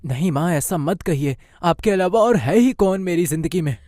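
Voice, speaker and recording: A man's voice: sits high, expressive, normal speed, a little mellow, no echo, studio quality. A man voicing a troubled, dutiful young son in a moral-story cartoon, earnest and worried. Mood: dejected.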